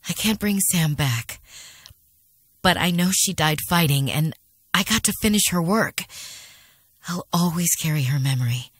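A determined woman explorer in a game. She is wry and informal, with a lowish, very animated voice, recorded close and dry. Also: a little slow, long pauses, breathy edge, no echo, heavily acted. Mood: resolved, reflective. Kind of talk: video game voice acting.

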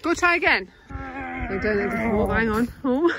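A woman's voice: a little high, animated, measured pace, crowd noise. A woman in her forties filming her family on a phone, giving a playful running commentary outdoors and in the car. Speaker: vlogger and mother. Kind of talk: casual family vlog narration. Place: outdoors on a beach, then in a car. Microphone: handheld phone camera. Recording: noisy wind and outdoor background.

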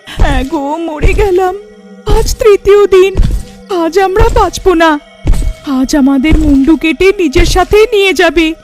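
A woman's voice: very high voice, measured pace, a little mellow, faint music behind. A woman voicing a frightened, scolding village wife in a horror cartoon, wailing and pleading. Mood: panicked, wailing.

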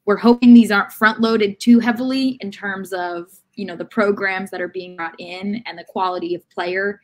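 A woman's voice: mid-range voice, fairly even intonation, normal speed, a little mellow, poor audio. A young woman co-hosting a casual sports chat from a room at home on a video call. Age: young adult. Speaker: sports podcast co-host.

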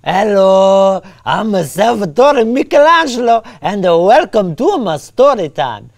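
Wildly animated old Italian storyteller. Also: male voice, sits high, vocal fry, exaggerated voice. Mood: cheerful. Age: older. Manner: theatrical, sing-song, drawn-out words. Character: rambling, crude old Italian man telling fairy tales. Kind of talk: animated comic storytelling in broken English.

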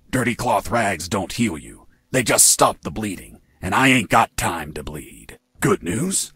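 An actor playing a cocky captured commando pilot in a video game, telling his story in a deadpan, wry way. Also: low voice, husky, no echo, heavily acted. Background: background music.

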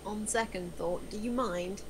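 A woman's voice: medium pitch, unhurried, background noise, natural voice. A woman playing a skeptical, exasperated character in a screenplay table read. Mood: guarded. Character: skeptical, exasperated woman pulled into a crime scheme.

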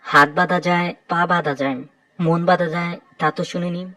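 A woman voicing a calm, gently teasing young male airline pilot in a romantic audio story. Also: fairly low pitch, moderate pace, no room sound, recorded from a distance, pitched below the performer's own voice, poor audio.

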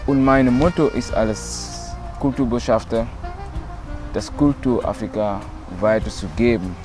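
Slow-spoken Senegalese man over music. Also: mid-range voice. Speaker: griot, percussionist. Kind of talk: documentary interview to camera. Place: outdoors in leafy woodland.

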